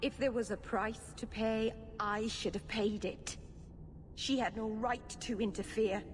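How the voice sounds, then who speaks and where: A woman acts out an anguished young elf mage for a fantasy game. The voice is high and openly emotional, with an earnest, pleading manner. It rings in a long reverb over faint noise. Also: steady tone, unhurried, close to an everyday voice. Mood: grieving, bitter. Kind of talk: video game dramatic acting.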